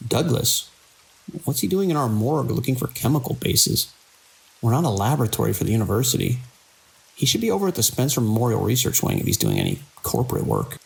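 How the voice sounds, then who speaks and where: Weary, authoritative male hospital director for audio drama, played by a man. Gravelly, expressive delivery. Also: medium pitch, a little fast, full character voice, low-quality recording. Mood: concerned. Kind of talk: radio drama acting.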